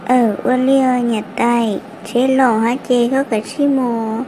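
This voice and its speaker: A woman's voice: on the high side, flat delivery, measured pace, natural voice. A woman voicing a female character in a family drama cartoon, in dramatic dialogue. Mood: conversational. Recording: clearly noisy background.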